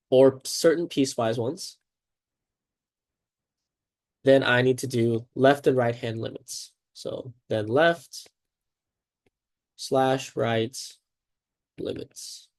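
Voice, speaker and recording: Man, congested and sniffly, mid-pitched voice, upbeat teacher walking students through math, chatty, keeps asking "right?". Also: a little mellow. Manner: upbeat, chatty, checks in often with "okay" and "right?". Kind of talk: casual math lesson at a whiteboard.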